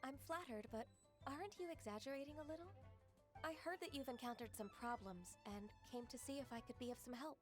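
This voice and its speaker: An actress voicing a calm, composed young secretary in a video game, speaking gently, politely and with assurance. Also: female voice, sits high, brisk, over music, lightly acted.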